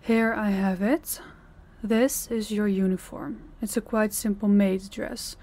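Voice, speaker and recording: Woman doing a cold controlling mafia boss lady for a roleplay audio, dark mellow voice, talks down to you, bossy and deliberate. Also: medium pitch, steady tone. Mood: cool, commanding. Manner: commanding, condescending, deliberate. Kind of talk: roleplay audio acting, addressing the listener.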